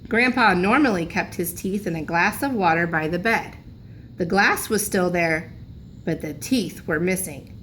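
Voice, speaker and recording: A woman teacher reading a children's storybook aloud in a deliberate, expressive way. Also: on the low side. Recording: background noise in room recording.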